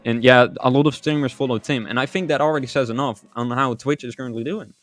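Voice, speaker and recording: A man's voice: medium pitch, steady tone, fast, faint music behind. A man in his twenties from the Netherlands, giving casual answers as a streamer guest on a podcast. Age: 20s.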